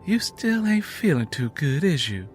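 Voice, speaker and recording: A man's voice: fairly high pitch, very animated, a little slow, faint music behind, voice pushed up. A man voicing a weary, stoic mother of a poor rural family in an audiobook drama, with folksy dialect. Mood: matter-of-fact.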